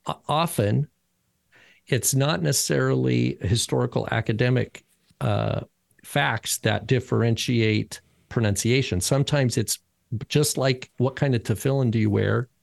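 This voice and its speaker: A man's voice: mid-range voice, measured pace, vocal fry, no echo, studio quality. A man co-hosting a podcast who explains letters and sounds in a casual, lecturing way. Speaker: podcast co-host and teacher.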